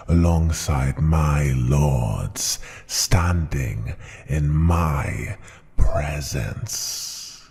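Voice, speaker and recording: A man's voice: mellow, heavily acted. A man voicing an ancient, tyrannical dragon ruler who scorns humans, speaking in a pompous, menacing growl for an ASMR roleplay. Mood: disdainful. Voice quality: deep gravelly growl, breathy at times. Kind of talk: ASMR roleplay, slow theatrical monologue.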